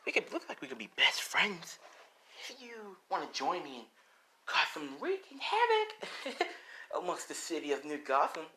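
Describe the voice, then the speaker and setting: A gleeful, menacing clown supervillain, acted out by a man for a superhero story. The voice leaps high and swings wildly, taunting and cackling in a sing-song lilt. There is noticeable noise behind it. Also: wildly swooping pitch, normal speed, sharp tone, heavily acted. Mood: boastful glee. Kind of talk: improvised superhero story villain.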